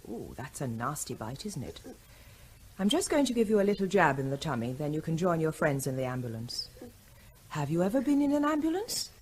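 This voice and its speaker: A woman playing a calm, reassuring Indian hospital doctor in a radio drama, gentle and professional. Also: low voice, fairly quick, slight background noise. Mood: soothing. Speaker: actor.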